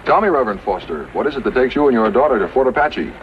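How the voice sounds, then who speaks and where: An actor playing a courteous, reassuring cavalry lieutenant in a 1950s radio western, polite and formal. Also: male voice, mid-range voice, sing-song, quick pace, lightly acted. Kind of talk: 1950s radio drama acting. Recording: muffled, hissy old radio recording.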